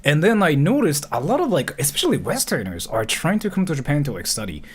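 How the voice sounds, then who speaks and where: A man's voice: on the high side, wildly swooping pitch, slightly rough. A man in his thirties chatting casually as a livestream host, rambling and often correcting himself mid-thought. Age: 30s. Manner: rambling, halting, self-correcting, peppered with filler. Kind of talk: casual livestream chat and reaction commentary.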